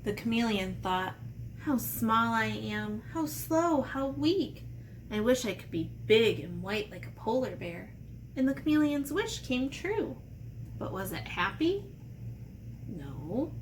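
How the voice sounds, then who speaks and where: A woman's voice: a little high, big pitch swings, slow, slight background noise, rough recording. A woman teacher talking to children in simple, playful, step-by-step language, as in a read-aloud and drawing lesson. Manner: simple, child-directed explanations with playful asides. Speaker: elementary art teacher.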